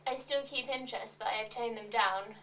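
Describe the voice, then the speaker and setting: A woman reading scripted confessions in an awkward, deadpan way. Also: a little high, fairly quick. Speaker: reader of fans' confessions. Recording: distant mic, roomy cellar, faint noise.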